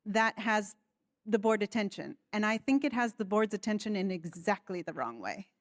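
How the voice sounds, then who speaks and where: An American woman on a conference panel speaking in a measured, articulate way, politely challenging a point. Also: mid-range voice, lively intonation, no echo, studio quality. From United States. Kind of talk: panel discussion.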